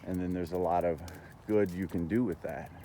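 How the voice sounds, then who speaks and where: A man talking in a casual, rambling monologue, halting but earnest and opinionated, as a vlogger. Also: deep voice, steady tone, mellow, a little hiss or hum.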